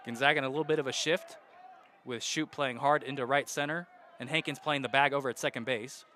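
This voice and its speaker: A young man calling baseball play-by-play on radio, walking through counts and base runners in a steady flow. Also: mid-range voice, fast, a faint crowd, slight background noise. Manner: steady count-and-situation narration, rising loud on hits. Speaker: play-by-play announcer.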